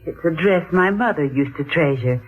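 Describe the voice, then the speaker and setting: A woman's voice: low voice, lively intonation, moderate pace, slight background noise. An actress playing a strange, wistful woman in a 1940s radio drama, speaking in a refined, dramatic way. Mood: polite, evasive. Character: strange, wistful, attractive American woman with secrets. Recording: tinny vintage 1940s radio recording.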